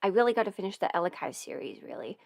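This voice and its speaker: A woman's voice: medium pitch, big pitch swings, racing, gravelly, a little mellow. A woman hosting a casual livestream, chatting informally and thinking aloud as she goes. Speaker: livestream host and voice performer answering viewer questions. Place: indoors at a desk at home.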